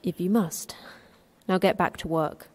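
A woman's voice: lowish voice, unhurried, a little mellow, breathy edge. A woman playing a terse, stern facility manager in a sci-fi horror audio drama, curt and dry.

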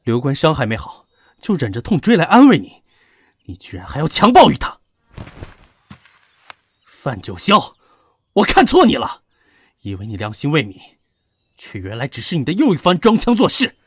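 A stern young protector played by a man, husky and very animated in his reproach. He declaims righteously in a costume audio drama, recorded dry and fairly high in pitch. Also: moderate pace, long pauses, dry recording, exaggerated voice. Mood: indignant.

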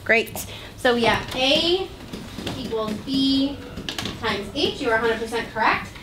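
A young woman instructs a classroom of children in a high voice, projecting directions and questions. She is recorded at a distance in an echoing room with clear background noise. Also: expressive, measured pace. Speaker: math teacher. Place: indoor school classroom with students. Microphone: distant camera microphone. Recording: roomy, noisy classroom, picked up from afar.

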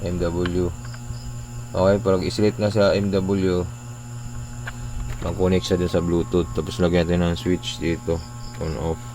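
Man, deep voice, casual repair tutorial, informal explaining with tag questions, noisy recording with chirping insects. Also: little pitch movement, measured pace, pauses often. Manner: informal explaining, often seeking agreement with short tag questions. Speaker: electronics repair vlogger.

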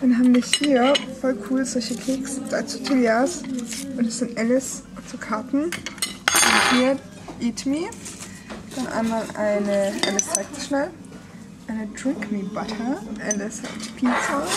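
A woman's voice: on the high side, measured pace, heavy background noise. A young woman vlogger talking casually and enthusiastically, often exclaiming, into a handheld camera in a restaurant. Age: young adult. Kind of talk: casual follow-along travel vlog. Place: busy indoor themed restaurant. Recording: loud restaurant ambience, clattering dishes.

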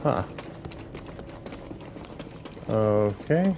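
A man hosting a casual game playthrough, musing aloud in a halting, rambling way. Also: on the low side, sing-song, dark tone, a little hiss or hum. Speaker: gaming channel host. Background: game music.

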